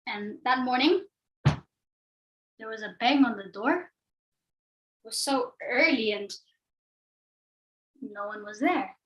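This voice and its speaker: Young refugee girl, high and hushed, played by a woman, roomy echo. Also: takes it slowly, long pauses, far from the mic, lo-fi sound. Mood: horrified. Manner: hushed, tearful storytelling. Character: ten-year-old Honduran girl, grieving refugee. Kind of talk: competitive dramatic interpretation performance.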